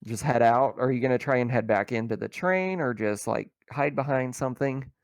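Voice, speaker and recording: A man's voice: medium pitch, normal speed, no room sound, clean studio sound. A man acting as game master in a casual tabletop roleplaying session, explaining things informally.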